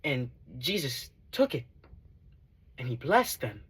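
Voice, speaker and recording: A man acts out a devout disciple who was once a tax collector, testifying in a stage monologue. He speaks, earnest and measured, stressing his words with deep feeling in a medium-pitched voice. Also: sing-song, a bit bright, husky, heavily acted. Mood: fervent, awed. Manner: earnest, measured, emphatic storytelling.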